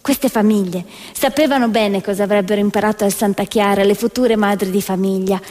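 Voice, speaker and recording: A woman's voice: medium pitch, fairly even intonation, a little fast, darkish tone. A woman actress delivering scripted theatrical narration in a declamatory storytelling manner. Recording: reverberant hall with music behind. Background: music.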